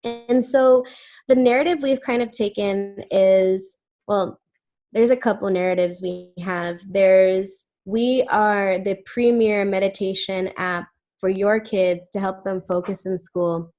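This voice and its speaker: A woman speaking on a video call in a relaxed webinar chat. She talks at a medium pitch and keeps breaking into fillers and small restarts as she thinks aloud. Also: slow pace, lo-fi sound. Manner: chatty with many fillers and restarts. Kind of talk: casual webinar answers.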